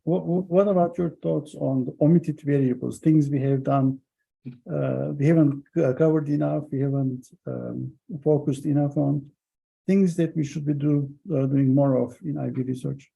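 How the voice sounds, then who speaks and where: A man interviewing an academic, asking questions haltingly with many fillers. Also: on the high side, steady tone, gravelly, mellow, slightly rough, lo-fi sound.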